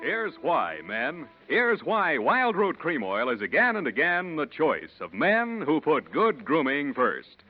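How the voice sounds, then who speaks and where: An American man announces in emphatic 1940s radio style. His medium-pitched voice is polished and very animated. The sound is dull and vintage, with faint music. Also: measured pace, hardly any pauses. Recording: muffled 1940s radio broadcast.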